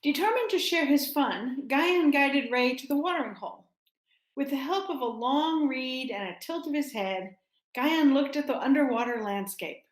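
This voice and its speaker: Woman with a highish voice, echoey room. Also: animated, normal speed. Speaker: church storyteller. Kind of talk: story time talk for children. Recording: roomy echo.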